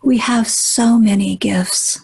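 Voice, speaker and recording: Female meditation guide: very husky and breathy, gentle, unhurried, with pauses between short instructions. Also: fairly high pitch, steady tone, low-quality recording. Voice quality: breathy, husky, often near whisper.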